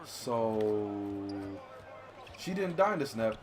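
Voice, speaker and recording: A man's voice: lowish voice, big pitch swings, slow, background noise. A man talking films casually with a friend, slangy and full of swearing. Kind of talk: casual excited movie discussion with a friend.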